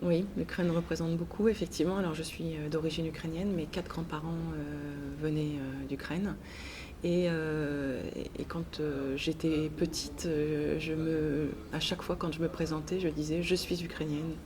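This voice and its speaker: A woman answering interview questions in a hesitant, halting way with frequent pauses. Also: low voice, steady tone. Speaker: interviewed author and teacher. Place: book festival. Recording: noisy festival ambience. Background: clear ambient noise.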